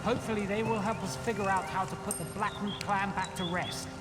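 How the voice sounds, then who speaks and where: A man's voice: high voice, fairly even intonation, fairly quick, heavy background noise, close to an everyday voice. A man playing an eager, scholarly elf investigator in a fantasy video game, earnest and theatrical in his phrasing. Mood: instructive.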